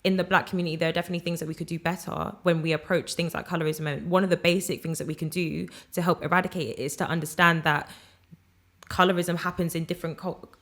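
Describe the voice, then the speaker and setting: Candid young woman, low voice. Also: flat delivery, quick pace, fairly bright tone. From Britain. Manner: opinionated, candid, laughs often. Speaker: podcast co-host. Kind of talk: casual podcast discussion.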